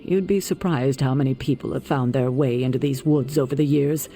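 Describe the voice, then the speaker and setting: Actor as a composed, authoritative superhero mentor. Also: male voice, medium pitch, a little fast, mellow, lightly acted. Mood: grave, informative. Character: composed authoritative male leader of a superhero team. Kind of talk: dramatic video game acting. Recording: music behind the voice.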